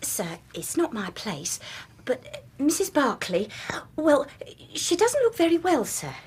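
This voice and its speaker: A woman's voice: high-pitched, big pitch swings, unhurried, darkish tone. A woman playing a timid, anxious maidservant in a period radio drama, hesitant and deferential. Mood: hesitant, worried.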